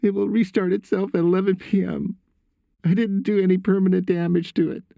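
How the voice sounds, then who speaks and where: Man voicing a whiny, high-pitched frightened boy. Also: little pitch movement, brisk, vocal fry, dry recording, lo-fi sound. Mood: subdued. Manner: whiny, shaky, pleading. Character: frightened tearful teenage son confessing on the phone.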